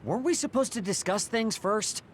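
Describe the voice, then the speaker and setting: A slightly high young man's voice as a blunt game soldier. He is snippy and scornful, with animated swings in pitch over loud music. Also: moderate pace. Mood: irritated. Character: prickly, blunt young male soldier, reluctant teammate. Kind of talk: dubbed anime-style video game acting.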